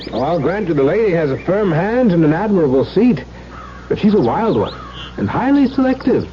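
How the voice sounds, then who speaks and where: A man's voice: high voice, big pitch swings, fairly quick. A man acting an urbane, skeptical baron in a radio drama, with a formal, teasing, arch manner. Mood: wry, skeptical. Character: urbane, wry baron, skeptical gentleman cousin. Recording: muffled 1970s radio broadcast with noise.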